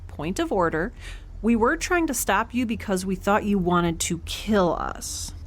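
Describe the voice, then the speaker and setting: A woman's voice: mid-range voice, expressive, a little slow. A woman playing a quippy, awkward young peacemaker on a space station in an audio drama, hesitant and wry. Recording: faint background noise.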